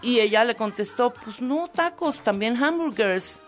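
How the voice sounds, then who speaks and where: A Mexican woman co-host whose voice swings widely up and down as she tells anecdotes. Soft music runs underneath her chatter. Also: on the high side, measured pace. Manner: expressive storyteller. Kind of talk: casual show banter.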